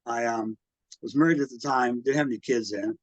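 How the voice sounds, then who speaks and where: A man's voice: medium pitch, no room sound. Interviewee, male, 60s, American. Hesitant, conversational collector looking back. Dry, slightly lo-fi sound. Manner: halting, hesitant, backtracks often. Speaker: radio collector and museum exhibitor, interviewee. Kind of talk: casual reminiscing interview.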